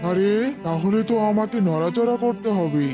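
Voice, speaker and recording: Man voicing a high-voiced foolish cartoon crocodile. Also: normal speed, lightly acted. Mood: dim scheming. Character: foolish, scheming crocodile, the fox's hapless enemy. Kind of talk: children's cartoon story voice acting. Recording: muffled audio under loud music.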